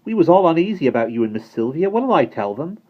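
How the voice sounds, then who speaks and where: A man's voice: a little high, big pitch swings, fast, pitched above the performer's own voice. A man reading aloud voices a cheeky, cheerful country boy selling geese, brash and wheedling. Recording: dull, lo-fi recording.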